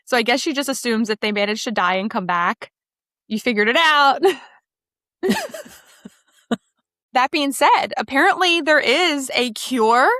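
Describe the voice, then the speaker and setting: Female podcast co-host, higher-pitched and bright, conversational book chat. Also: dry recording, studio quality. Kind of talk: casual book discussion chat.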